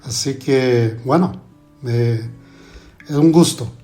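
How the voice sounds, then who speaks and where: Brazilian pastor speaking accented Spanish. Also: male voice, medium pitch, faint music behind. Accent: Brazilian Portuguese. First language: Portuguese. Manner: relaxed, adds "no?" tags, halting Spanish. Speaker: pastor and guest theologian. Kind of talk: podcast interview.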